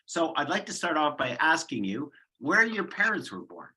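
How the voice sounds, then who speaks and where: Guy interviewing someone on a video call, bright voice, kinda halting, lots of repeats. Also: on the high side, very animated, poor audio. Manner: hesitant, repeats words, formal phrasing. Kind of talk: interview questions.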